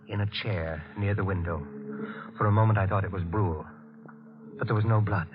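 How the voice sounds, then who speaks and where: An actor playing a guarded, brooding married man who narrates his own dark crime in an old radio thriller, evasive and haunted. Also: fairly low pitch, close to an everyday voice. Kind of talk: 1940s radio thriller acting, first-person narration. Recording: muffled old radio recording with music.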